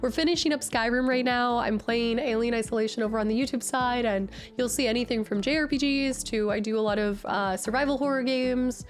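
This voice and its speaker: A woman streamer chatting casually with her viewers, her voice nasal and raspy from a cold, bubbly and excitable. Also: a little high, fairly quick, hardly any pauses. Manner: bubbly, excitable, greets chat often. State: recovering from a cold. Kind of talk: casual live-stream chat.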